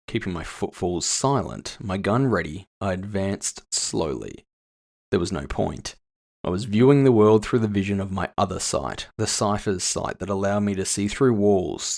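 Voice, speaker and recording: A male narrator reads scripted first-person audio fiction. His voice sits low, with plenty of fry and gravel in it. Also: darkish tone. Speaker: author and narrator.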